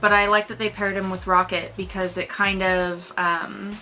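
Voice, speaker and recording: Bright-voiced woman chatting about films. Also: medium pitch, flat delivery, normal speed, a little hiss or hum. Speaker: movie review co-host. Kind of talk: casual film discussion.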